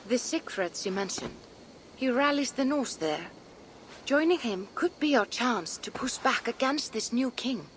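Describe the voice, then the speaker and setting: High-voiced, level-headed Viking advisor. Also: female voice, expressive, slight background noise, low-quality recording. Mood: grave, counseling. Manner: measured, reasoned. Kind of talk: dramatic video game acting.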